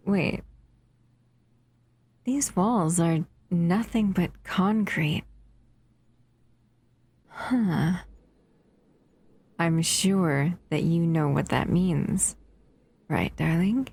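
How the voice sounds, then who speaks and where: A woman voicing a doting, possessive female monster in an audio roleplay, speaking softly and often in a whisper. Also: lowish voice, drawn-out words, pauses often, breathy edge, heavily acted. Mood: hushed, scheming.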